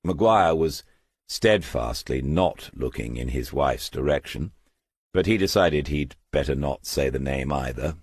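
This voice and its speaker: A man narrates a crime novel with an extremely deep, husky voice. His delivery is slow and measured, with a literary storyteller's control. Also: expressive, no echo, clean studio sound. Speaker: audiobook narrator. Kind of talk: scripted crime fiction narration.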